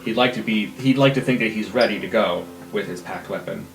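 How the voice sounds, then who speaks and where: A man casually improvises as a tabletop game player. His voice is on the low side and echoes in the room, with music behind it. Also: fairly quick, gravelly, fairly bright tone.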